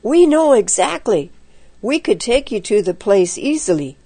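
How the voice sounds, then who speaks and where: A woman's voice: mid-range voice, sing-song, unhurried, warm tone, no room sound. A woman storyteller voicing a timid, spooked young boy who is earnest, pleading and nervous.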